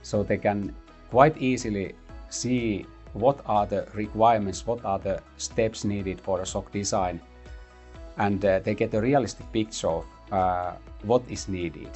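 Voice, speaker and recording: Low-voiced man explaining, halting. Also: a little mellow, faint music behind. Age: middle-aged. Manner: measured, many "uh" pauses. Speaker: university computing head. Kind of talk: interview explanation. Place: office lab with whiteboard, indoors.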